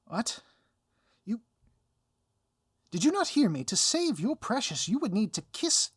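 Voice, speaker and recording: A man playing a gloating, melodramatic supervillain mastermind in an audio roleplay, with grandiose, drawn-out emphasis. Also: very high-pitched, sing-song, breathy edge, no room sound, studio quality, voice pushed up, exaggerated voice. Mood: melodramatic, flustered. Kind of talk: audio roleplay villain acting.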